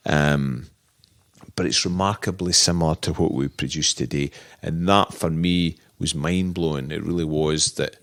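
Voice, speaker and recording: A husky middle-aged man, a distillery manager, talking thoughtfully in a relaxed interview with frequent restarts. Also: rumbling low. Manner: thoughtful, halting, many restarts. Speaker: distillery manager, podcast guest. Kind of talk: relaxed interview talk about whisky making.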